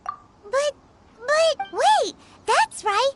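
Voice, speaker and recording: A woman voicing a small, excitable, naive fairy girl with a squeaky childlike voice in a video game. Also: extremely slow, soft background music, full character voice. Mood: excited. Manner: refers to self in third person, exclaiming.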